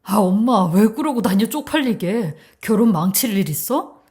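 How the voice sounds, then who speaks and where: A woman voicing a young bride, sharp and confrontational, outraged and protective of her mother in a dramatized story. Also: medium pitch, animated, normal speed, natural voice. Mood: urgent anger.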